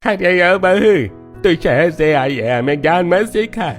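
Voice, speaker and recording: A man's voice: sits high, lively intonation, unhurried, faint music behind. A man voicing a stubborn, old-fashioned village elder who explains and patronises in a Hindi cartoon story. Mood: scornful.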